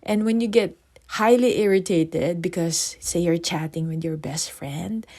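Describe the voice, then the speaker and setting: A middle-aged woman from the Philippines, husky this morning, hosting her podcast in a chatty way and asking her listeners questions. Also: fairly low pitch, a little slow. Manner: chatty, asks listeners questions, animated asides. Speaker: podcast host and psychotherapist. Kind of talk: podcast monologue.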